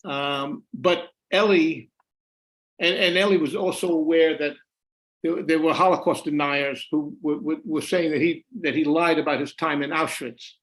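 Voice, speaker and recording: An older man speaks over a video call from a room at home, his voice pitched a little high for a man. He talks in halting runs, doubling back on his words and restarting before he lands the point. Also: steady tone, fairly bright tone, poor audio. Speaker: author and former journalist, guest. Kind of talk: conversational interview answers.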